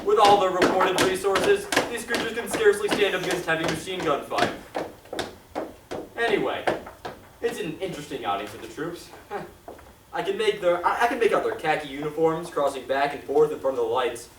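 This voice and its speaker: A man's voice: a little high, moderate pace, lightly acted. A man playing a confident army signal corps captain reporting from the field in a 1930s radio drama style. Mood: amused, casual. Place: theatre stage, live performance. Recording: noisy echoey stage recording from far off.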